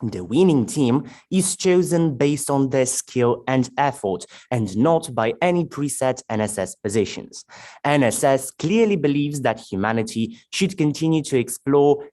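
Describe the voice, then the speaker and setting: Male debate moderator, formal and measured, animated pitch, video call. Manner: measured, announcing formal introductions.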